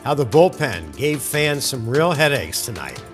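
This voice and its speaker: Male anchor, a bit hoarse, expressive up-and-down read over faint music. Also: mid-range voice, a little slow. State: hoarse, losing his voice. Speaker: news anchor. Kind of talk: newscast reading.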